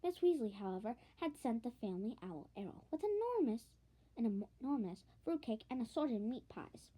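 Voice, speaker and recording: A woman reading a storybook aloud as narration, expressive, with the occasional stumble over a phrase. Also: a little high, a little fast, slightly rough. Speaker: audiobook reader.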